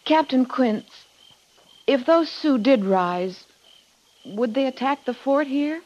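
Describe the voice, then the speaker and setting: An actress playing a polite, curious young niece of an army major in a 1950s radio western. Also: female voice, high-pitched, expressive, slow pace, a little mellow. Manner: genteel, inquisitive. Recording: dull lo-fi 1950s radio recording.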